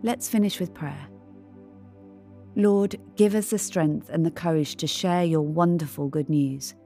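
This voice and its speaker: Low, mellow woman reading a devotional. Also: measured pace, soft background music. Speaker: devotional reader. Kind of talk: scripted devotional narration.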